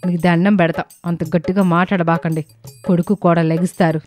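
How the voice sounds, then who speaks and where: A woman's voice: fairly low pitch, flat delivery, brisk, darkish tone, faint music behind, close to an everyday voice. A woman playing a greedy, scheming middle-aged mother-in-law in a dramatised story, insistent and argumentative. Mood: anxious, impatient. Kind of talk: dramatised moral story acting.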